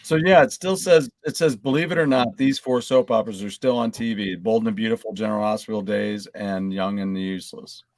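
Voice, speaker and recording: A man's voice: lowish voice, fairly quick, gravelly, hardly any pauses, dry recording. A man co-hosting a podcast, chatting casually and sometimes reading items out to the group. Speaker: podcast co-host.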